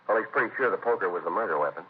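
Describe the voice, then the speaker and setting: Male American detective, extremely low pitch, terse, deadpan, clipped, 1950s radio crime drama, thin hissy recording. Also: rapid-fire. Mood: businesslike. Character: terse, businesslike male police detective, narrating his case. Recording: tinny, noisy vintage radio recording.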